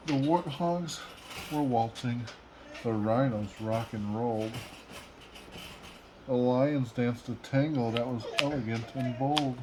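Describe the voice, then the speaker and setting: A man reading a children's storybook aloud as a father would, with measured, expressive storytelling. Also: mid-range voice, background noise. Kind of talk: storybook read-aloud in rhyme.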